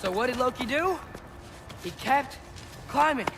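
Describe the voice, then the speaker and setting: A plucky teenage giant boy in a video game, with a high, youthful voice. He talks casually and earnestly, determined underneath. Clear ambient noise sits behind the voice. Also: expressive, unhurried, exaggerated voice. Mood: straining, determined. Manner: casual, earnest, talking to himself. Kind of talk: naturalistic video game acting.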